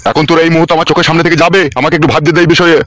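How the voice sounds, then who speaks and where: A man's voice: on the high side, little pitch movement, racing, noisy background, natural voice. A man voicing a brusque, bossy, swearing older thug uncle in a comic cartoon. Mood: scolding. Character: gruff, foul-mouthed, bossy older neighbourhood thug uncle.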